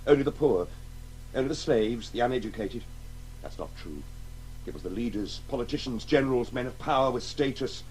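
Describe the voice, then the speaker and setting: A man's voice: medium pitch, expressive, fairly quick, a little mellow, soft background music, close to an everyday voice, low-quality recording. A man with a British accent playing a brooding, argumentative, eccentric husband in a radio drama. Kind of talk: 1980s radio drama acting.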